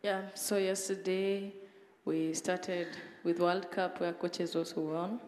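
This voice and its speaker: A woman, a soccer coach, recounting the day calmly and earnestly. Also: lowish voice, slow pace, slight background noise. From Kenya. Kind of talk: casual spoken account. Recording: echoey hall with long reverb.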